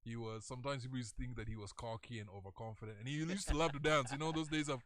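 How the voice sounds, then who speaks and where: A man's voice: medium pitch, very fast, fairly bright tone, dry recording. Kenyan guy on the radio, chatty storyteller, laughs a lot, dry close mic. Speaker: radio host. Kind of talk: casual studio chat mixing Swahili slang. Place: radio studio.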